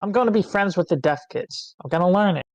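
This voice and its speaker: A man's voice: high-pitched, expressive, fast, dry recording, dull audio. Man acting a sheepish teen boy, close and dry. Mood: contrite. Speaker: amateur actor reading a part. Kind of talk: screenplay table read, dramatic dialogue.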